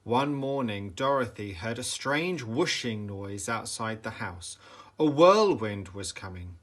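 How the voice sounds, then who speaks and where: A man reading a children's bedtime story aloud with dramatic, deliberate emphasis for young listeners. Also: lowish voice, lively intonation, a bit bright. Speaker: storybook reader, teacher.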